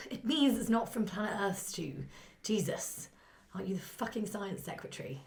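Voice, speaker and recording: Minister, sardonic, blunt, lively pitch swings, creaky edge, radio play. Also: female voice, moderate pace. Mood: scornful. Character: scathing, callous female British government minister.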